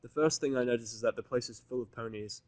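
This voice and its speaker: A man reading first-person fan fiction as an audiodrama in a sardonic, grumbling, deadpan manner. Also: medium pitch, quick pace, no room sound. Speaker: audiodrama reader.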